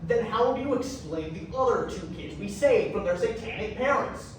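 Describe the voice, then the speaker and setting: A man's voice: high-pitched, animated, normal speed. A man playing a zealous, accusatory investigator in a readers theatre stage performance. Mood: insistent. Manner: accusatory, insistent. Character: zealous, threatening male investigator hunting satanic cults. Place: large echoey indoor room, distant mic. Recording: echoey hall from far off, noisy.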